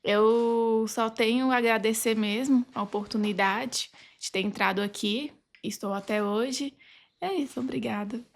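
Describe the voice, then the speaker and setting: A young Brazilian woman speaks as a guest on a podcast. Her voice is a little high, and she tells her own story in an informal, conversational way. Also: steady tone, measured pace, creaky. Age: young adult. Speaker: podcast guest, legal assistant. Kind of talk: personal account in casual interview.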